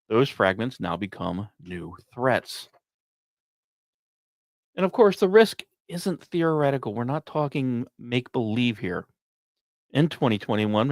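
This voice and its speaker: A man's voice: medium pitch, no room sound, clean studio sound. A man hosting a podcast, reading scripted science commentary with emphatic, dramatic phrasing and informal asides. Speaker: podcast host.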